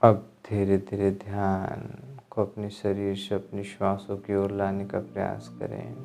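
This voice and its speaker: A man leads a guided meditation in a low voice. He speaks very slowly and calmly, leaving pauses between measured instructions. Music plays behind him. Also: gravelly.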